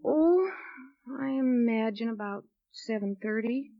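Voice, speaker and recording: An actress playing a polite, slightly flustered hospital nurse, hesitant and deferential, in a 1950s radio drama. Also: female voice, a little high, expressive, a little slow, no room sound. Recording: dull lo-fi 1950s radio recording.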